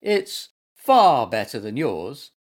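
A woman's voice: a bass voice, very animated, slow pace, voice pushed down, full character voice. Woman voicing a dramatic, boastful little monkey.

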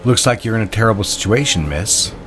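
An actor playing a controlling, coolly seductive lover in a period melodrama, terse and insinuating. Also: male voice, lowish voice, animated, a little fast, fairly bright tone, faint music behind. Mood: smooth, teasing.

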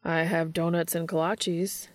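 Woman playing a low, easygoing young guy. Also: level tone, measured pace, no room sound, close to an everyday voice. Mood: teasing. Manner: casual, joking, slangy.

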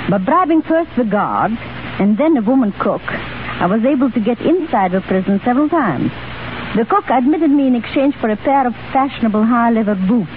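A middle-aged woman giving a scripted radio interview in a formal, carefully worded storytelling manner, 1930s style. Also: fairly high pitch. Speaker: guest recounting experiences. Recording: noisy, narrow-band 1930s radio broadcast.

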